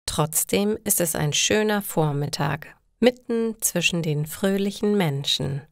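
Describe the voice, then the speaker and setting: A woman narrates simple stories for language learners in careful, scripted phrases. Her voice sits a little low and she takes her time, very slowly, in a dry, close sound. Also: creaky, clean tone, no echo. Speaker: storyteller for German learners.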